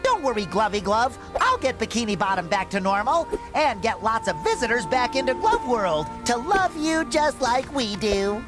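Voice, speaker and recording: An actor voicing an eager, naive young male sea sponge in a cartoon video game, squeaky, earnest and childlike. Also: sing-song, normal speed, hardly any pauses, music in the background, natural voice. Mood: warm, reassuring. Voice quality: high, squeaky. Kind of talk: animated video game acting.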